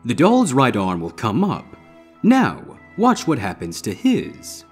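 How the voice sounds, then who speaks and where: A man voicing a theatrical, ominous pony stage magician with deliberate showman's patter in a storybook reading. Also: medium pitch, huge pitch swings, breathy edge, faint music behind, voice pushed up, heavily acted. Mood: commanding, eerie. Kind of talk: character voice in audiobook-style fan fiction reading.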